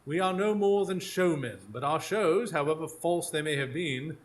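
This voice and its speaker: A man acts out a clever, haughty detective for a radio drama. His delivery is precise and formal, pitched fairly high, with very animated swings of intonation. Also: normal speed, close to an everyday voice. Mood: smooth, cunning. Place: small theatre stage, recorded live in front of an audience.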